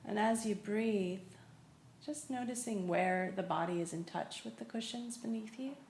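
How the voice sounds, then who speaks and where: A woman teaching a guided meditation, speaking in a gentle, unhurried way with long pauses. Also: mid-range voice, creaky. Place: indoor room in a building. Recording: echoey room with faint noise.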